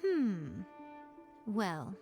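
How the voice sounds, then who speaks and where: An actress playing a wry, self-assured fox shrine maiden in a video game, with measured, formal, playfully sardonic delivery. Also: female voice, mid-range voice, huge pitch swings, creaky, exaggerated voice. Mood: musing, composed. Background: background music.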